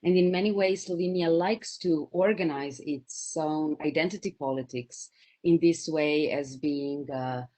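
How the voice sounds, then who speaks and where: Low voiced woman from serbia, researcher on a panel, lots of uh and um between words. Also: a little slow, warm tone. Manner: hesitant, many fillers. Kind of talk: academic panel talk.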